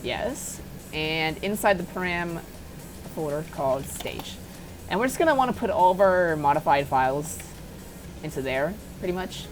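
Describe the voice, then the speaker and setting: A woman giving a casual, unedited software tutorial in a rambling, self-deprecating, apologetic way. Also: deep voice, expressive, moderate pace, over music. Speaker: tutorial maker.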